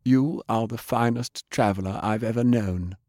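A man's voice: mid-range voice, normal speed, a little mellow, no echo, clean studio sound, close to an everyday voice. A man voicing a haughty, menacing female ninja assassin for an audiobook, cold and formal in manner. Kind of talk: audiobook character acting.

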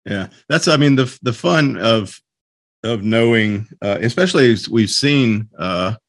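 A man hosting a podcast interview in a casual, halting way, often searching for words. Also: mid-range voice, expressive, no echo.

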